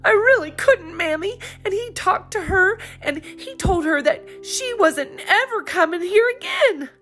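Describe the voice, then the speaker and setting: Tearful little girl, very high and pleading, read by a woman, soft music under. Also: animated, measured pace, heavily acted. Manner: high, crying, breathless pleading. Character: young girl, tearful and earnest. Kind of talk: audiobook character voice.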